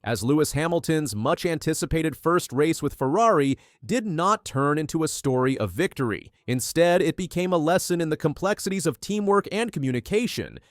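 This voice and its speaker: Male narrator, higher-pitched and very animated, for dramatic, polished sports news scripts recorded dry. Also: no echo. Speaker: narrator of motorsport news videos.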